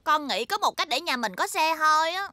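High, bossy little cartoon schoolgirl. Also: fairly quick, a little hiss or hum. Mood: scheming. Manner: expressive, pleading and indignant. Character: bossy, scheming little schoolgirl, the eldest daughter. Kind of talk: cartoon dub acting. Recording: music behind the voice.

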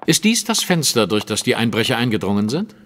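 An actor playing a cool, analytical and self-assured detective in a German audio drama, with precise, formal diction. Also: male voice, mid-range voice, sing-song, brisk, a bit bright, a little hiss or hum. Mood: probing.

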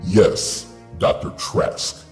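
An actor playing a giant, menacing robot overlord in an audio drama, speaking coldly and slowly. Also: male voice, reverberant, faint music behind. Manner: cold, slow and menacing.

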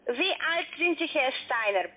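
A woman's voice: high voice, sing-song, a little slow. A woman who is not a native German speaker, running through a scripted call-centre check insistently, in broken grammar, on the telephone. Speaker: scam call centre agent. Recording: tinny narrowband phone line.

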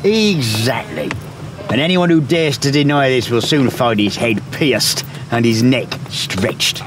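An actor playing a boastful, cruel pirate captain in an audio drama, pompous and gloating. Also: male voice, medium pitch, lively intonation, measured pace, over music, lightly acted. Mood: gloating, menacing. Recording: noisy ambience behind the voice.

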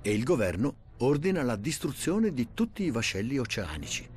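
A man's voice: medium pitch, sing-song, normal speed, darkish tone. A man reading scripted documentary narration.

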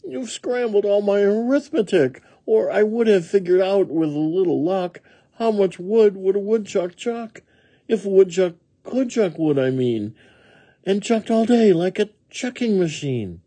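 A man's voice: high-pitched, animated, a little slow, dark tone, pitched above the performer's own voice, exaggerated voice. A man voicing a fussy, absent-minded woodchuck in a storybook, fretful and musing. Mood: fretful, moaning. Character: fussy, moaning, absent-minded male woodchuck lost in arithmetic.

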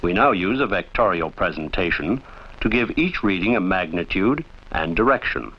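Deep-voiced male film narrator, dull old soundtrack. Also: animated, husky. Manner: formal, measured, didactic. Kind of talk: scripted instructional narration.

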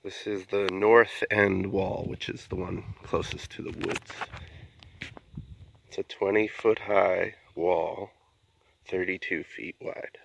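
A man's voice: low voice, level tone, slow, creaky, a bit bright, a little hiss or hum, low-quality recording. A man giving a casual explanatory vlog narration as an amateur builder, recorded outdoors on a handheld camera. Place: outdoors in a wooded yard at a building site. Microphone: handheld phone camera, speaker behind it.

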